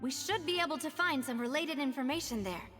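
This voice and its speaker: A woman's voice: sits high, animated, a little fast, a bit bright, big-room sound, faint music behind. A woman playing a poised, determined young lady who leads an organisation, polite and articulate, in anime-style game dialogue. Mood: serious, determined.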